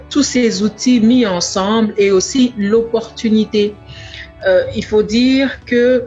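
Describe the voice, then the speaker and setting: A young woman from the Democratic Republic of the Congo with a Congolese accent, giving a measured personal testimonial with some halting repeats. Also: medium pitch, breathy edge. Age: young adult. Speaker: entrepreneur, grocery store owner. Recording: dull lo-fi sound, faint music.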